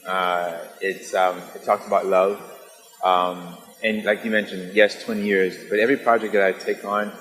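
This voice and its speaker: A low-pitched man answers in an interview, pausing and feeling for his words. His voice echoes as in a hall. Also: flat delivery, a bit bright. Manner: halting, searching for words. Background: music.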